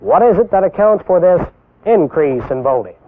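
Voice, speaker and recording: A young American man giving scripted instructional narration as a military instructor, deliberate and didactic, posing rhetorical questions. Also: high-pitched, expressive. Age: young adult. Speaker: military instructor presenting a training film. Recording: tinny band-limited 1960s film soundtrack.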